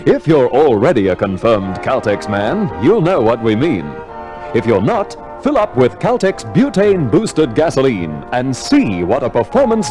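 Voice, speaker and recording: A man's voice: medium pitch, mellow. A man reading a scripted 1950s radio commercial as announcer, polished and emphatic. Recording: vintage radio broadcast with music.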